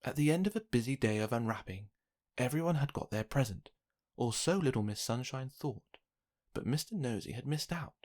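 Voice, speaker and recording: A man narrating a children's picture book, reading aloud with expressive, dramatic emphasis. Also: medium pitch, moderate pace. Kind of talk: scripted storybook narration for children.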